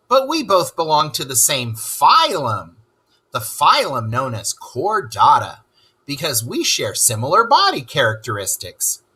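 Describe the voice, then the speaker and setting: A man's voice: mid-range voice, pushed and bright, breathy edge, exaggerated voice. A man voicing a cheerful male rat who is an animal expert and world traveler, teaching children and asking them questions. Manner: enthusiastic, asks the listener questions. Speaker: read-aloud teacher. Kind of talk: children's lesson read-aloud.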